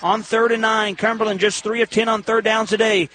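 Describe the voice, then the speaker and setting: An American man calling live football play-by-play on the radio in an excitable, emphatic way with clipped phrases. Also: high voice, a little fast.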